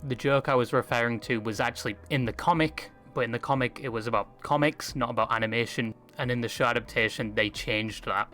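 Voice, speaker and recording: A man's voice: mid-range voice, a bit bright. A man delivering scripted narration as a video essayist. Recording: music behind the voice.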